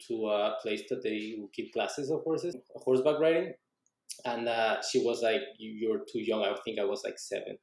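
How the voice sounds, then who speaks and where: A man's voice: on the low side, darkish tone, echoey room, rough recording. A young adult man from Mexico with a Mexican accent, a native Spanish speaker, chatting loosely as a podcast guest with many restarts. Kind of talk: casual interview conversation.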